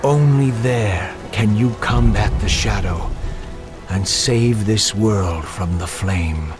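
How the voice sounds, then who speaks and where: Cryptic game seer: low, measured, grave, over loud music. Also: male voice. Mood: solemn warning. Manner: portentous, measured, formal. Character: solemn, cryptic prophet warning of doom. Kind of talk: video game cutscene acting.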